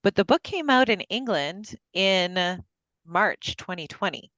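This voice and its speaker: A woman's voice: mid-range voice, animated, unhurried, a bit bright, no room sound. A woman hosting a virtual book club over a video call, chatty and full of fillers and restarts. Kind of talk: casual group discussion. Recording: dull lo-fi call audio.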